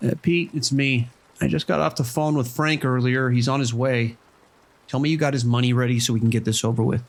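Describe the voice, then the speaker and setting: An actor playing a harried casino manager in an audio drama, anxious and hesitant, with a pleading way of talking. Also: male voice, medium pitch, steady tone, brisk, close to an everyday voice. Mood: nervous. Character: nervous, harried casino manager, male, owing money.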